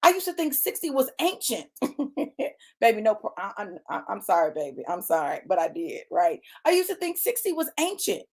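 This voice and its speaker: A woman's voice: mid-range voice, very animated, moderate pace, a little mellow, slightly rough. A woman in her late fifties delivering a motivational sermon, emphatic and repetitive, addressing listeners as y'all and baby. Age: 50s. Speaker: preacher.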